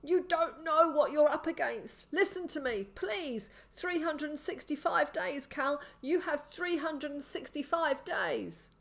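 A woman's voice: extremely high pitch, fairly even intonation, unhurried, hardly any pauses, a bit bright, voice pushed up. A woman voicing a crazed, terrified sick man in an audiobook, frantic and gasping as he gives desperate warnings. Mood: desperate, urgent. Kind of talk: audiobook character acting.

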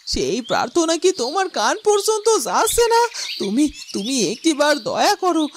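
Very high, plaintive grieving mother. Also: noisy background. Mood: anguished pleading. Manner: plaintive, pleading, drawn-out lamenting. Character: grieving, lonely village mother pining for her lost son. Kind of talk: melodramatic Bengali fairytale cartoon acting.

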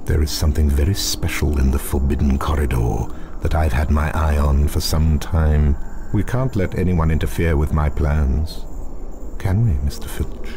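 Very deep, sinister male wizard professor. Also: noisy background. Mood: cold, menacing. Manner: cold, deliberate, sinister. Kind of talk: video game voice acting.

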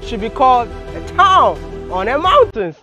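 A young man hosting a travel vlog, talking casually and excitably to the camera outdoors. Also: extremely high pitch, a little slow, muffled recording, faint music behind. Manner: excitable, joking, chatty. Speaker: travel vlogger host. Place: outdoors on a hillside.

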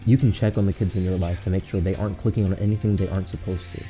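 A man's voice: sits low, steady tone, very fast, warm tone. A man co-hosting a scripted video essay, speaking in an earnest, explanatory way. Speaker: co-host of a channel. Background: background music.

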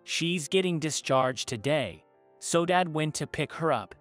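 A woman voicing a shy, earnest young boy in a narrated story video, speaking softly with a hint of tears. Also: low voice, lively intonation, measured pace, faint music behind. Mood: small, earnest.

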